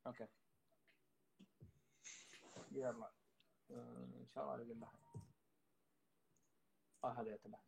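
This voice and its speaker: A man's voice: medium pitch, fairly even intonation, normal speed, creaky, long pauses, slight background noise. A man on a live stream chatting casually between rap turns, his voice a bit off from just waking up. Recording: loud hip hop music behind.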